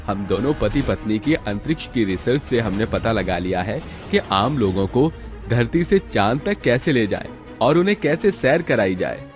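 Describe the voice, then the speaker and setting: A man's voice: mid-range voice, big pitch swings, moderate pace, natural voice. A man voicing a polite, earnest young man in a cartoon story, with music behind him. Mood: proud. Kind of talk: Hindi cartoon story acting.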